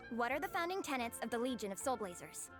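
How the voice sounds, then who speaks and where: An earnest young superfan in a video game, high-voiced and gushing. She talks with dramatic, lively swoops while music plays clearly underneath. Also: quick pace. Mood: grandiose. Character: excitable, earnest young female comic book superfan.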